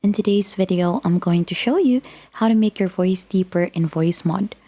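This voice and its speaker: A woman presenting a step-by-step software tutorial in an instructional narration style. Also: on the low side, moderate pace. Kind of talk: instructional screen-recording narration.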